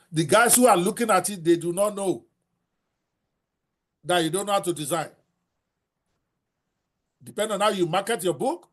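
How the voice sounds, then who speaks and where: An older Nigerian man with a Yoruba accent, teaching a book-writing class in a blunt, animated way and dropping in Yoruba phrases. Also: sits high. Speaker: host.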